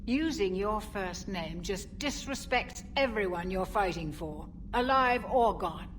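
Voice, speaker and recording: An actress playing an older female officer, fond, formal and gently wry, in a video game. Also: medium pitch, expressive, measured pace, slight background noise, natural voice.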